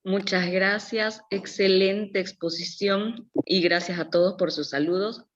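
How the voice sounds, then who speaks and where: A woman's voice: mid-range voice, steady tone, measured pace. A woman politely moderating an online event and handing over to questions. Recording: dull online call audio.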